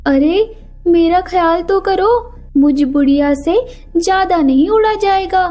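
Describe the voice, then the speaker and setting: A woman doing a plaintive, high-pitched old auntie bird. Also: a little slow, a little mellow. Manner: plaintive, complaining. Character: complaining elderly auntie bird, frail and weary. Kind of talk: animated children's story character voice. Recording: music behind the voice.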